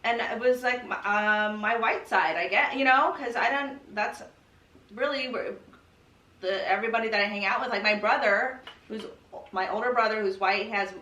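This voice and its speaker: A woman's voice: on the high side, moderate pace, sharp tone. A young American woman from California talking candidly and casually, peppering her speech with swearing, "like" and "you know". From California, United States. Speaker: podcast guest sharing her personal story. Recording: roomy echo, distant from the mic.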